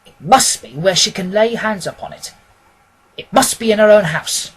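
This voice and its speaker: A self-assured gentleman detective, played by a man reading aloud, reasoning emphatically through each point. The voice is slightly rough and husky, with an analytical, decisive manner suited to a classic mystery audiobook. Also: high voice, normal speed, rough recording.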